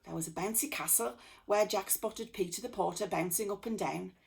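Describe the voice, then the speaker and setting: A woman storyteller reading a children's bedtime story aloud in an expressive manner. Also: medium pitch, normal speed, creaky. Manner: expressive, with acted dialogue.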